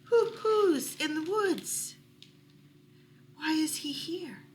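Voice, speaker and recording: A woman's voice: sits high, expressive, drawn-out words, a little hiss or hum, pitched above the performer's own voice, exaggerated voice. A woman voicing a storybook owl full of questions, hooting and stretching out repeated words. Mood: inquisitive, insistent.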